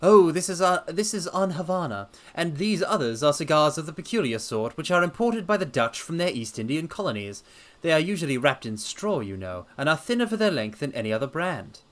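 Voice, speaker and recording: A man performs a brisk gentleman detective from a storybook mystery. His voice is pitched high and swings up and down with animation. He sounds assured, methodical and courteous. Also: big pitch swings. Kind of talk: dramatic reading of a detective story.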